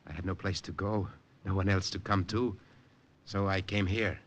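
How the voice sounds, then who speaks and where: An actor playing a guarded undercover spy posing as a German lieutenant, clipped and careful, in 1950s radio drama style. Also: male voice, deep voice, fairly even intonation, moderate pace. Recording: noisy old 1950 radio broadcast.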